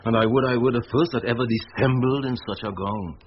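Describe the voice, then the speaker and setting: A man playing a playful, quick-witted clown in a classical radio play, full of droll patter. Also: medium pitch, natural voice. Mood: wry. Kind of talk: classical radio drama acting. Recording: muffled radio recording, noisy background.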